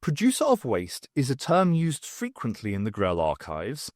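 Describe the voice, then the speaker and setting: A man's voice: mid-range voice, huge pitch swings, normal speed, darkish tone, dry recording, clean studio sound. A man reading scripted story narration as the narrator of an audiobook.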